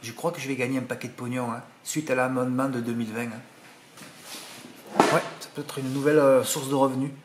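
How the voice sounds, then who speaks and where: A man with a regional French accent talks at a middle pitch, rambling and indignant as he gives his racing tips. He keeps tossing in little tag words. A faint hiss sits under the recording. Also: steady tone, fairly quick. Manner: indignant, rambling, full of "hein" and "voilà". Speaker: horse racing tipster. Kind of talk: casual monologue to subscribers.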